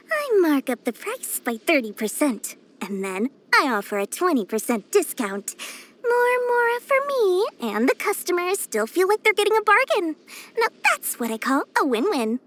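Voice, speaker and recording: A woman's voice: sits high, a bit bright, exaggerated voice. A woman voicing a small, cheerful, greedy young female merchant for a video game, with theatrical, sing-song sales patter. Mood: eager, salesy. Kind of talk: animated video game voice acting.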